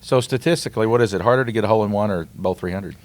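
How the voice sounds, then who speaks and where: A man's voice: lowish voice, very fast. A man co-hosting a podcast, asking questions in casual interview chat. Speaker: podcast co-host.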